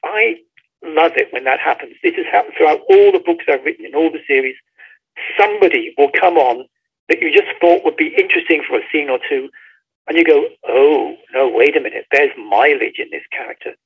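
Higher-pitched husky man on a lo-fi line. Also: brisk. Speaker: author, interview guest. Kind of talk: conversational book interview. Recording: dull, lo-fi phone-like line.

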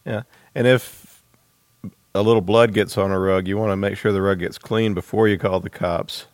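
Male interview host, low voice, dry recording, casual and halting delivery. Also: steady tone, no echo.

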